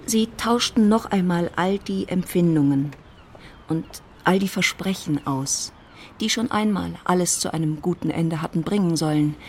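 A woman's voice: fairly low pitch, normal speed, darkish tone, a little hiss or hum. A woman narrating a literary radio drama in a scripted storytelling style.

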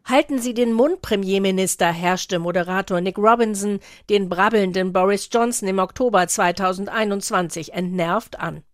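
Female radio correspondent, scripted report narration, medium pitch, very dry close sound. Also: normal speed, few pauses, no echo.

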